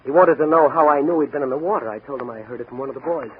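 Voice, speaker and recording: A man's voice: on the high side, very fast, slightly rough. A man playing a confident, wisecracking young policeman hero in a 1940s radio drama. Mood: breezy. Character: bold, wisecracking young policeman and masked crime-fighting hero. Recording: tinny, hissy 1940s radio recording.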